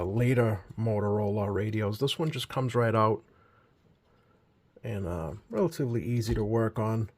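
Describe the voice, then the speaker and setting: A man giving a casual, unscripted hands-on commentary as a hobbyist repairer, easygoing and halting as he thinks aloud. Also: on the low side, darkish tone. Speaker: radio repair hobbyist hosting a video.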